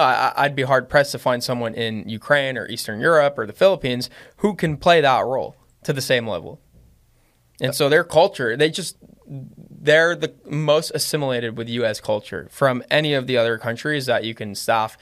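A man with a slight Lebanese accent chats as a guest in a relaxed podcast conversation. His voice sits in a middle pitch, and his speech wanders with frequent fillers and restarts. He gets livelier and louder when something excites him. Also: moderate pace. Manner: rambling, many fillers and restarts. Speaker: podcast guest, business owner. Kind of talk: casual conversation.

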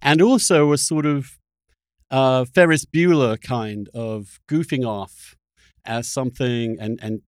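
A man's voice: medium pitch, dry recording, clean studio sound. A middle-aged man from Britain, hosting a conversational political discussion in a digressive way, restarting mid-thought. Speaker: podcast host.

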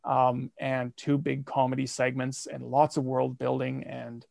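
A man's voice: mid-range voice, flat delivery, a little slow, creaky, darkish tone, no room sound, studio quality. A man who makes a comedy fiction podcast, describing his show as an interview guest, in an explanatory and enthusiastic way. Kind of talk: casual interview.

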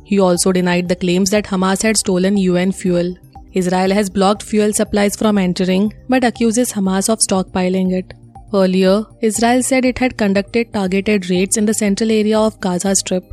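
A woman's voice: mid-range voice, steady tone, normal speed, a little mellow, faint music behind. A woman with an Indian accent presents a scripted news bulletin.

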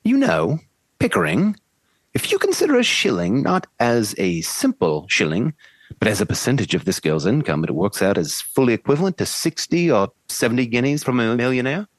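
A woman's voice: a bass voice, very animated, moderate pace, dry recording, heavily acted. A woman putting on a haughty upper-class male professor. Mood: commanding. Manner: imperious, brusque, theatrical. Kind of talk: amateur play reading.

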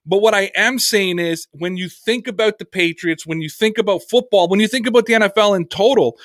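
A man's voice: high-pitched, fairly bright tone, no room sound, clean studio sound. A man hosting a sports podcast, answering viewer comments in an earnest, conversational way.

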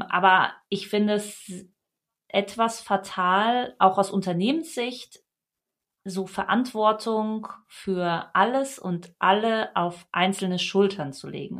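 Woman, medium-pitched voice, casual podcast discussion, co-host.

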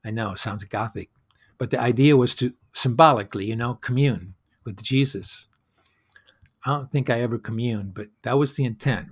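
A man's voice: mid-range voice, husky. A man hosting a solo storytelling show, speaking in a reflective, conversational and wry way, as in a personal monologue.

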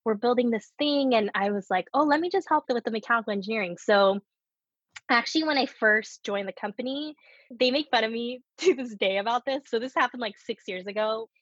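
A woman's voice: fairly high pitch, fairly quick, breathy edge, dry recording, highs cut off. A woman in her thirties whose first language is Korean, talking candidly about her life in an interview and laughing often as she tells stories. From United States. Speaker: startup co-founder guest. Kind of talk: casual interview conversation.

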